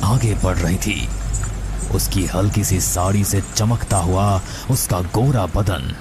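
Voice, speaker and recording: Slow, lowish male horror narrator. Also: background noise. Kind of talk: scripted horror story narration. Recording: loud music and storm effects behind.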